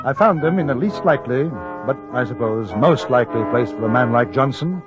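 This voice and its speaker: Man playing a dry, expressive gentleman. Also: mid-range voice, fairly quick, close to an everyday voice. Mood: composed, narrating. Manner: dry, formal, understated. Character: cool, dry, menacing English gentleman adventurer narrating. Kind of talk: 1950s radio western narration and acting. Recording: dull vintage radio recording, music behind.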